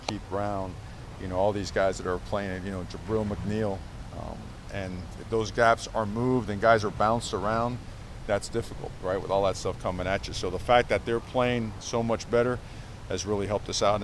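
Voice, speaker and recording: Emphatic coach at an outdoor presser. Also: male voice, lowish voice, slight background noise. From Cuba. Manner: emphatic, repeats words, many fillers. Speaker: head football coach. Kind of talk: press conference answers.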